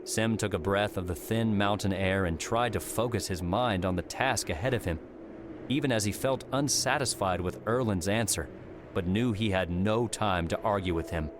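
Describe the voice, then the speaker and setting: A man's voice: low-pitched, background noise. A man reading scripted story narration for an audiobook. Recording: music behind the voice.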